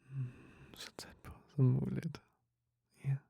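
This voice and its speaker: A tender Danish boyfriend, played by a man, whispers in an intimate ASMR roleplay. His voice is very breathy and mellow with some gravel in it, slow and soothing, with soft hums. It sounds dry and very close. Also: medium pitch, completely flat delivery, no echo, full character voice. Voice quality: breathy whisper, husky murmur. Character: tender, affectionate boyfriend soothing a partner to sleep. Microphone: very close microphone, dry.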